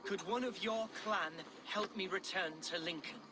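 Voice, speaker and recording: Man playing a high-pitched, flustered young nobleman. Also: moderate pace, noisy background, lightly acted. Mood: earnest, troubled. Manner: florid, polite, apologetic, excitable. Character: earnest young exiled nobleman, meek amateur poet, eager and flustered. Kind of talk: scripted video game drama acting.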